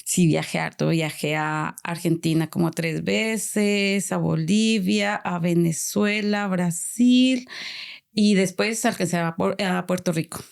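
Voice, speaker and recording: A woman from Colombia, a social sciences doctor speaking as a podcast guest, answering in a thoughtful, measured way with hesitant pauses. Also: fairly low pitch, creaky. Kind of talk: interview answers.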